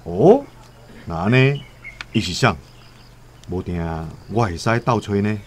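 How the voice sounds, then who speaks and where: A Taiwanese-accented actor playing a curious, cagey villager in a radio drama, speaking in an inquisitive, hesitant way. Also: male voice, lowish voice, lively intonation, unhurried, slight background noise. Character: curious, cagey male villager sounding out news.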